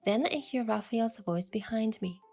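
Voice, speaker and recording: A woman voicing a curious young adventurer heroine, telling the story in the first person with earnest, expressive delivery. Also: fairly high pitch, normal speed. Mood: narrating, gentle. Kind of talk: scripted first-person narration and dialogue. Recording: music behind the voice.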